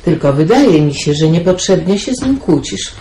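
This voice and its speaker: An actress playing a motherly farmhouse woman with a gentle, admonishing manner in a radio drama. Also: fairly low pitch, lively intonation, moderate pace, lightly acted. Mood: gently reproving. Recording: roomy, noisy radio play recording.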